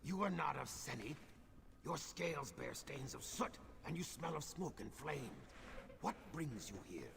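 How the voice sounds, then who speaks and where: Gravelly, earnest lizard-man healer. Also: medium pitch, very animated, fairly bright tone, big-room sound, slight background noise, heavily acted. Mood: earnest, guarded. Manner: formal, measured phrasing. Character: earnest male lizard-folk healer, wary outcast, courteous. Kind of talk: video game character acting.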